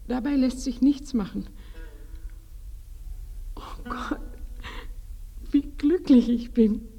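An actress in a radio play voicing a bashful, flustered village bride-to-be who is devout and humbly grateful, hesitant and often close to whispering. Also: female voice, high-pitched, takes it slowly, gravelly, warm tone, full character voice. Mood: overjoyed, humble.

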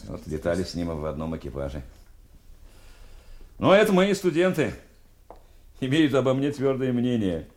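An actor playing an ageing, genial but exacting war veteran turned teacher in an audio drama, with a hearty, wry manner. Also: male voice, medium pitch, very animated, normal speed, pauses often, darkish tone, close to an everyday voice. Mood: wry, fond. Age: older.

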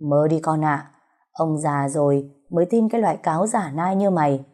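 A woman's voice: sits low, moderate pace, mellow, close to an everyday voice. A woman voicing a spiteful, jealous pregnant aunt who sneers and picks quarrels in an audio story. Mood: menacing.

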